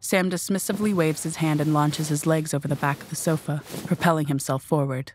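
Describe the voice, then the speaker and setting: A woman's voice: sits low, steady tone, brisk, a little hiss or hum. A woman reading scripted narration for an audio drama.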